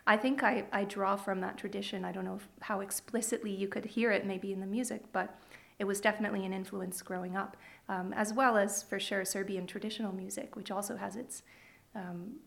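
Woman in her thirties, Canadian accent, noticeable vocal fry, mid-pitched, thoughtful interview chat. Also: fairly even intonation, a little fast. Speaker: musician guest. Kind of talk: casual radio interview.

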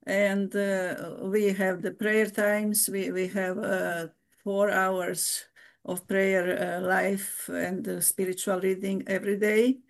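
Woman, older, nun, halting, many restarts, frequent laughs. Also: medium pitch, level tone. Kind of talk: casual interview.

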